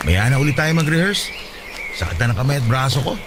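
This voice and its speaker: A man's voice: medium pitch, very animated, fast, background noise, natural voice. A man with a Filipino accent playing a cocky, teasing young band musician in a radio drama. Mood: casual. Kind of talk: Tagalog radio drama dialogue.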